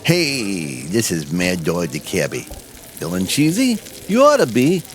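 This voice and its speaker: A man voicing an excitable male diner cook who is loud and jokey, pitching food in a whooping, folksy way. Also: measured pace, music in the background, heavily acted. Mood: excited.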